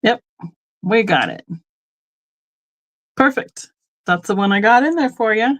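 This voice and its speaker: A woman's voice: fairly high pitch, animated, unhurried, a bit bright. A middle-aged woman from Colorado hosting a live online sale, chatting casually and rambling into asides with frequent ums. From Colorado, United States. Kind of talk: casual live-stream selling chat.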